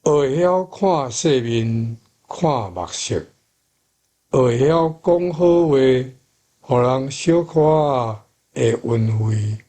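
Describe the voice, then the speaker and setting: A man's voice: mid-range voice, takes it slowly, warm tone. A man with a Taiwanese accent, a language teacher reading out a translation. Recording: guitar music behind the voice.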